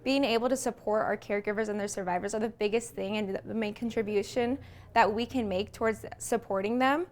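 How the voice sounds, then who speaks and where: A woman's voice: mid-range voice, fairly even intonation, fairly quick. A woman speaking as an event organizer in a news interview.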